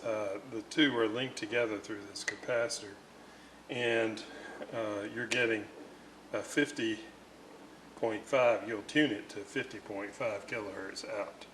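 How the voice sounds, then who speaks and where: A man giving an unscripted, hands-on technical explanation in a methodical, halting way, often searching for words. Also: mid-range voice, a bit bright, slight background noise, rough recording. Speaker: radio restorer presenting repair videos.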